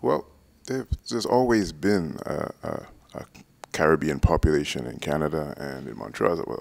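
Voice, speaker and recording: A man in his thirties giving a documentary interview, explaining at a measured pace with frequent ums, speaking into a handheld mic in an indoor room. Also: rumbling low, creaky. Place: indoors, red-walled room, seated on couch. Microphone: handheld mic close to mouth.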